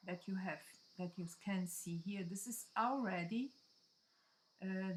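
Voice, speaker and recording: A Brazilian woman with a Portuguese accent talks through a software tutorial at an unhurried, measured pace. Her English is halting, and she keeps pausing to check in with her listener. Her voice sits at an ordinary middle pitch. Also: slight background noise. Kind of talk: screen-recorded software tutorial.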